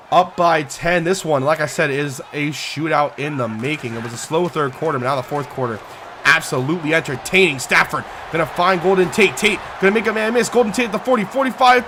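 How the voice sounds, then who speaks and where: A young man with a high-pitched voice calls a football video game like a broadcast announcer. His voice jumps up on big plays and he sounds worn and hoarse. The game audio plays clearly behind him. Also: background noise. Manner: excitable, pitch climbing on big plays, yard-line countdowns. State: losing his voice. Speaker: gaming YouTuber doing play-by-play. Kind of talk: sports-style play-by-play commentary over a video game.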